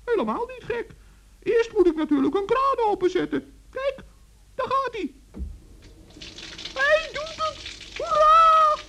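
A man playing a small, excitable old forest gnome in a children's radio play, speaking eagerly and earnestly with little stammers. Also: extremely high pitch, takes it slowly, gravelly, pauses often, slight background noise, heavily acted. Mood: eager, excited. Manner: eager, stammering, gently scolding.

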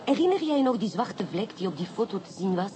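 An actress playing an elegant, playful and clever inspector's wife in a radio drama, speaking coquettishly with a French accent and French phrases. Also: female voice, medium pitch, big pitch swings, fast, warm tone, natural voice. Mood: triumphant. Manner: coquettish, theatrical, peppering talk with French phrases. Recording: clearly noisy radio play recording.

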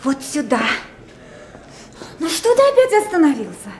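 Coaxing backstage guide, echoey, noisy old tape. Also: female voice, high voice, wildly swooping pitch, normal speed, a little mellow. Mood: brisk guide. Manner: hurrying, coaxing, knowing. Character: brisk woman guiding a friend backstage. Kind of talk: 1970s radio play acting. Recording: echoey archival tape with hiss.

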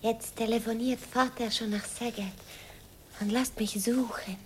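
With faint noise behind her, a woman plays a young village girl in an old radio play. Her voice is high and she takes her time, tender and impulsive. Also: fairly even intonation, unhurried, natural voice. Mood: matter-of-fact. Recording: slightly noisy old radio recording.

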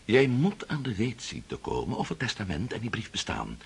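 An actor playing a scheming, impatient older prince in a radio drama, coaxing and condescending with a rough, hoarse voice. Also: male voice, on the low side, wildly swooping pitch, moderate pace, exaggerated voice. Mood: impatient, insistent.

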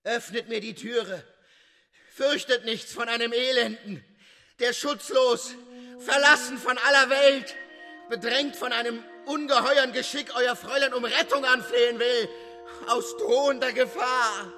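A man performs a desperate, imploring youth in a literary audio drama. The voice is very high for a man and forceful, with urgent pleading. It rings in a long hall reverb over faint music. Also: fairly even intonation, unhurried, heavily acted. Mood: anguished pleading. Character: desperate, imploring young man begging entry at night.

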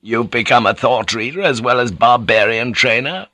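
A man's voice: mid-range voice, moderate pace, pushed and bright, no room sound, natural voice. A man voicing an old warlord who talks bluntly, testily and wearily. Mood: gruff. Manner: blunt, testy, weary. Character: old male warlord, shrewd, gruff and testy. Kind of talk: audiobook character voice.

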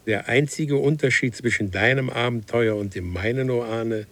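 A man's voice: mid-range voice, normal speed, lightly acted. An actor playing a precise, ceremonious traveller from the far future in a radio play, solemn and formal as he explains things. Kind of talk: German radio play acting.

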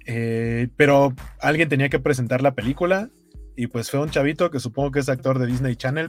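A Mexican man with a mid-pitched voice talks in a casual, animated way, like someone telling stories to his viewers on a gaming news show. Music plays softly behind him as he chats. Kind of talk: casual chat, reading viewer comments.

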